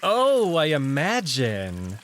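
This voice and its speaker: A man's voice: fairly high pitch, wildly swooping pitch, slow pace, a bit bright, a little hiss or hum, voice pushed up, heavily acted. A man voicing a suave male jackal courtier in a visual novel, with polite, airy small talk that carries a hidden edge. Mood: smooth, insinuating.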